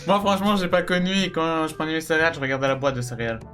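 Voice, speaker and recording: A man's voice: a little high, animated, very fast, fairly bright tone. A young man chatting informally as a co-host, giving his opinions with a teasing edge. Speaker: YouTube co-host. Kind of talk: casual chat, giving opinions. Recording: music behind the voice.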